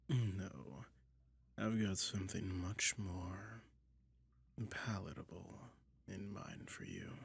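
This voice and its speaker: A man voicing a sly, soothing dragon who toys with a listener in a soft-spoken ASMR roleplay, breathy and whispery with a slow, coaxing delivery. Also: on the low side, heavily acted. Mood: soothing, teasing. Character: sly, soothing male dragon lurking in a cave, toying hypnotist.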